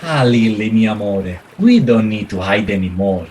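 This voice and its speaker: A man's voice: on the low side, animated, normal speed, darkish tone, close to an everyday voice. A man playing a theatrical Italian husband and ladies' man in a radio play, effusive, with an Italian accent and broken English. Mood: smooth, amorous. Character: melodramatic Italian widower and smooth ladies' man. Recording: faint background noise.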